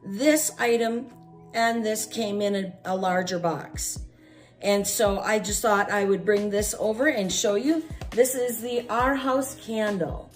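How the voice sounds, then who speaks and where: A woman's voice: medium pitch, slow. A middle-aged American woman chatting casually as she hosts an unboxing vlog, her voice affected by a chest cold. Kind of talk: casual product review chat. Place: small room at home, at a table. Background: music.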